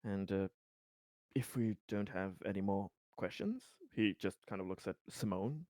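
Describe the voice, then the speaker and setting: Man, lowish pitch, slow halting delivery, tabletop player, casual roleplay, dry close sound. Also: expressive, mellow, no room sound. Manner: deliberate, halting, pausing between phrases.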